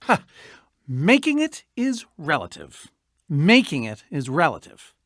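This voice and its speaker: A man's voice: a little high, huge pitch swings, unhurried, a bit bright, no echo, studio quality, heavily acted. A man voicing an eccentric, boastful yet nervous inventor and adventurer in a video game, theatrical and wordy with hesitant asides. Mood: sly, boastful. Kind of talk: video game character voice acting.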